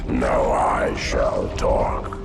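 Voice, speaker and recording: An actor voicing a colossal ancient parasitic creature in a video game, speaking in grave, ominous, guttural riddles. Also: male voice, steady tone, vocal fry, exaggerated voice. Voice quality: deep, guttural, rumbling. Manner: slow, booming, oracular. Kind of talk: video game cutscene acting. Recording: loud music behind the voice.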